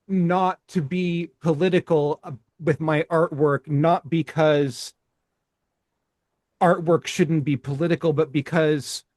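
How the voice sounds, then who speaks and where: Guy on a podcast thinking out loud, really slow and halting, voice a bit on the high side. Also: no echo, clean studio sound. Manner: halting, thoughtful, carefully weighing words. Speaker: podcast host and artist. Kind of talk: reflective podcast conversation.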